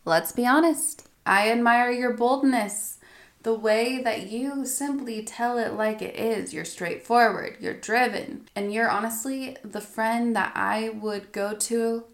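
A woman talking directly to her viewers in a gentle, soft voice that often drops near a whisper, sounding a little stuffy from allergies. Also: on the high side, slow pace, roomy sound. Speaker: astrology vlogger. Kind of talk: reflective spoken monologue to viewers.

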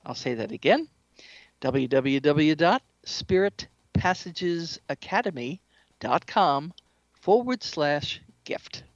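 A woman's voice: sits low, expressive, slow pace, no room sound. A woman speaking as an interview guest, explaining things in a conversational, instructive way. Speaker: interview guest, shamanic practitioner and author. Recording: slightly dull lo-fi audio.

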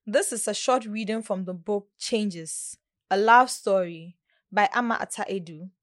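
A woman's voice: mid-range voice, a little slow, no echo, clean studio sound. A woman reading scripted literary narration as a presenter. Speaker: presenter and reader.

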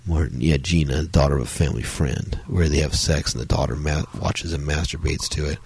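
Podcast host, man, husky and strained from a cold, dry close sound, loose rambling delivery. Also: fairly even intonation, brisk, a little mellow, no room sound. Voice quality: very deep, gravelly. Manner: rambling, many fillers and restarts. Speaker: podcast host reviewing films. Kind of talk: solo casual review talk.

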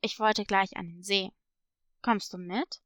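Storybook role: boyish little hippo brother, played by a woman, casual, careful, lowered pitch, dry sound. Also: fairly even intonation, measured pace, a bit bright, dry recording, close to an everyday voice. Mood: inviting. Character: playful, cautious young hippo boy, little brother.

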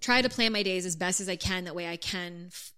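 A woman's voice: lowish voice, brisk, pushed and bright, clear, resonant tone. A woman co-hosting a casual couple's podcast, earnest and practical, with light teasing and the odd casual swear. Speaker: podcast co-host.

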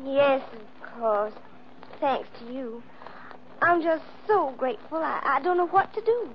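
An actress playing a sweet, gushing, clinging Southern belle with a Georgia accent, coy, in 1940s radio style. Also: female voice, high-pitched, measured pace. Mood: coy, grateful. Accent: American South, Georgia. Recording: muffled, noisy old radio recording.

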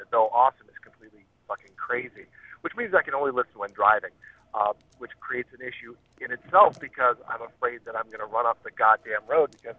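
A man's voice: medium pitch, husky. A man leaving a casual phone message for a podcast, joking dryly with some mild swearing. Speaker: podcast listener calling in. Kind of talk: casual complimentary voicemail. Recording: narrow, tinny telephone line.

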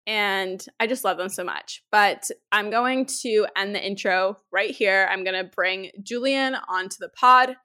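A woman from Canada hosting a podcast in casual chat, hoarse from a head cold, chatty and full of "like". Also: mid-range voice, unhurried, hardly any pauses, pushed and bright. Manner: chatty, uses "like" often. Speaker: podcast host.

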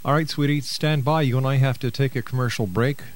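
A man's voice: medium pitch, steady tone, brisk. A man hosting a radio talk show, interviewing and announcing to listeners. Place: radio studio.

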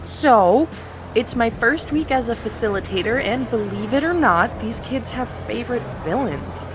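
A woman's voice: fairly high pitch, expressive, moderate pace, over music, lightly acted, rough recording. A woman playing an earnest, nervous new facilitator. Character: eager young woman, new foundation facilitator. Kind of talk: audio drama acting.

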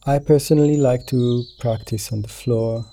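A man leading a guided meditation as a teacher, speaking in a gentle, soothing, unhurried manner. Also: medium pitch, steady tone. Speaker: meditation teacher guiding yoga nidra.

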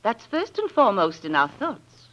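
An actress playing a genteel, condescending middle-aged society mother, gracious and measured, in a 1940s radio drama. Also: mid-range voice, wildly swooping pitch, a bit bright. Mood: politely disapproving. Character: genteel, condescending upper-class mother of grown son. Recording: muffled 1940s radio broadcast.

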